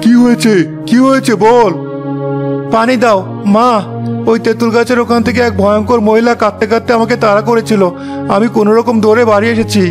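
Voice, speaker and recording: A man playing an excitable, frightened villager with a dramatic, alarmed manner in an animated horror story. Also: extremely high pitch, a little fast. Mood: panicked. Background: music.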